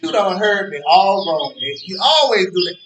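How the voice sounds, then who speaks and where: A man's voice: high voice, sing-song, normal speed. An actor playing a cranky, worried old man in a stage play, stammering and repeating himself as he argues. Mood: exasperated, explaining. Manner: stammering, repetitive, emphatic, argumentative. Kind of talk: live stage play dialogue. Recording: roomy distant stage recording with ambient noise.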